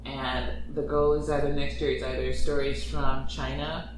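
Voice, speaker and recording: A man in a casual chat about writing stories, who rambles, restarts his thoughts and grows animated when he retells a plot. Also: medium pitch, moderate pace, noisy background. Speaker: podcast creator and writer. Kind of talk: casual conversation. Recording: echoey room, distant mic.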